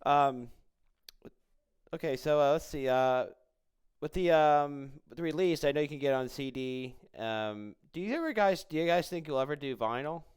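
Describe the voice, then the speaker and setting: Very clear, smooth-voiced male interviewer. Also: fairly high pitch. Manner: encouraging, halting questions. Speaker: podcast host and interviewer. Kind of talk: casual interview chat.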